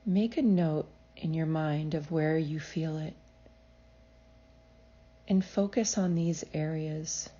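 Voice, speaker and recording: Low, slow, soothing woman guiding meditation. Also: muffled recording. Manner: soothing, measured, gentle instruction. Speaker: psychologist leading a guided meditation. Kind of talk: guided meditation, scripted.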